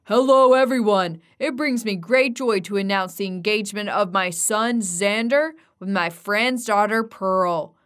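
A woman voicing a wealthy middle-aged father who proudly announces his son's engagement to a crowd, speaking loudly. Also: medium pitch, measured pace, few pauses, lightly acted.

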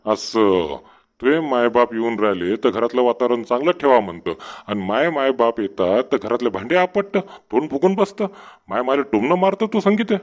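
A man's voice: mid-range voice, lively intonation, moderate pace, lo-fi sound, lightly acted. A man voicing a henpecked, sarcastic village husband in a comedy cartoon, complaining in rural Marathi of Vidarbha. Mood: grumbling, exasperated.

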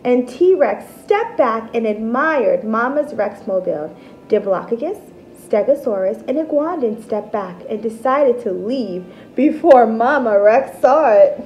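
A woman in her thirties reading a picture book aloud to children like a teacher, expressive and deliberate. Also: a little high, lo-fi sound, a little hiss or hum. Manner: animated, deliberate, explains terms simply. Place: small decorated room at home, indoors.

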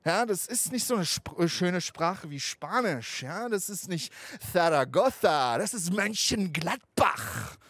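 A man in his thirties delivers a stand-up set into a handheld mic, out on a rooftop. His storytelling is animated and conversational, peppered with swearing. The sound is dry and close, with no room echo. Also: sits high, unhurried, few pauses, breathy edge. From Afghanistan, raised in Germany. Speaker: stand-up comedian. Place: outdoor rooftop stage.

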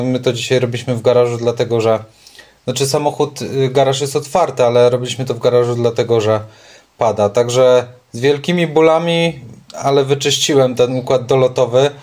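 A man's voice: medium pitch, a little mellow. A young man, a car mechanic vlogger, chatting frankly and casually while he works on an engine in an open garage. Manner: chatty, frank, self-deprecating. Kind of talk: casual how-to commentary. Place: open home garage, rain outside.

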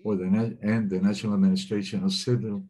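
Low husky male interpreter. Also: flat delivery, fast talker, mellow. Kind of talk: simultaneous interpretation of webinar talks. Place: remote webinar.

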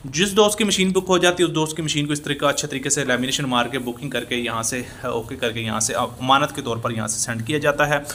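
A young man from Pakistan, filming himself on a phone at arm's length in a small workshop. He gives an earnest, repetitive sales pitch with many fillers. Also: fairly even intonation, fast talker, fairly bright tone, a little hiss or hum. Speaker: small business owner promoting machines. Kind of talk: selfie sales vlog. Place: small workshop and shop indoors. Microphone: phone held at arm's length.

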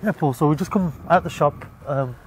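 A man in his thirties, a shop owner, calmly explaining his store during an on-site interview, with many fillers. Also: a little high, a little fast, slight background noise. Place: shop and community hall, indoors.

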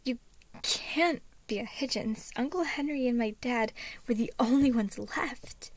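A woman's voice: fairly high pitch, measured pace, no room sound, rough recording. A woman narrator voicing a blunt, wary, foul-mouthed woman, reluctantly protective, speaking in terse, urgent, half-whispered lines. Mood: blunt, agitated.

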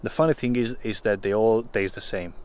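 A man in his thirties from Hungary, casually talking to a close-held camera outdoors in the woods, often pausing with uh. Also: fairly low pitch, steady tone, a little mellow. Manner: hesitant, many filler uhs. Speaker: vlogger.

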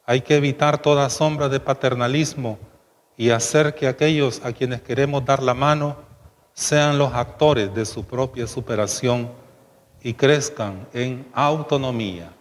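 A middle-aged man, a bishop presiding at Mass, preaching and leading prayers in a measured, solemn way. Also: medium pitch. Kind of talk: homily and liturgical prayers. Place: chapel with altar, indoors. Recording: long chapel reverb, faint noise.

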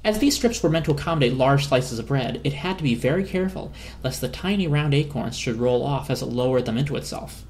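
A woman narrating a children's storybook as an expressive dramatic reading. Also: extremely low pitch, fast, few pauses, slight background noise.

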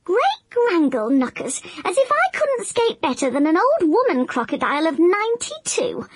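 A woman's voice: very high voice, very animated, normal speed, no room sound, pitched above the performer's own voice. A woman voicing a boastful, clumsy young male crocodile who is cocky and sometimes stammers in an anxious voice, read as a storybook.

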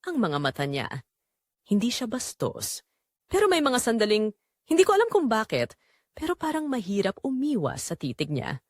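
Lonely young Filipina wife played by a woman, confessional audio drama narration, earnest, expressive melody, dry studio sound. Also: on the high side, normal speed, dry recording. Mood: uneasy, reflective. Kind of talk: scripted first-person audio drama narration.

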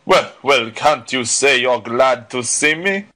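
An actor playing a blustering, swaggering bully who barks imperious, insulting orders in a dramatised audiobook. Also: male voice, medium pitch, lively intonation, slow, sharp tone. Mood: irritated, demanding.

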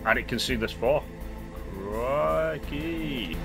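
A man's voice: mid-range voice, animated, takes it slowly, creaky. A man hosting a gaming channel, chatting and exclaiming as he comments on his gameplay. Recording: game music behind voice.

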